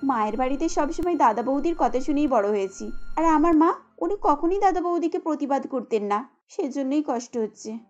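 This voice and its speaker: A woman voicing a gentle, modest young cartoon bride, speaking politely and with earnest feeling. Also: sits high, lively intonation, moderate pace, lo-fi sound, faint music behind. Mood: tearful.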